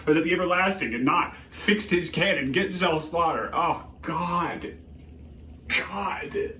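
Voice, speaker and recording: A grieving, embittered prince, acted by a man declaims a Shakespearean stage soliloquy in a fairly high male voice. He speaks very slowly and haltingly, brooding low and then crying out. The sound is distant and echoing, as if recorded across a room. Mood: weary despair. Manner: halting, declamatory, swinging from hushed to anguished outcry. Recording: roomy, distant microphone, faint noise.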